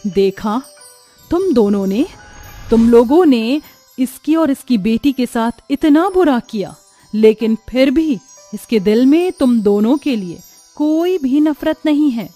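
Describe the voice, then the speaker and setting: Woman voicing a high, solemn cartoon mother goddess. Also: expressive, slow pace, warm tone, soft background music. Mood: stern judgment. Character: majestic mother goddess, stern yet benevolent. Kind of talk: Hindi cartoon voice acting.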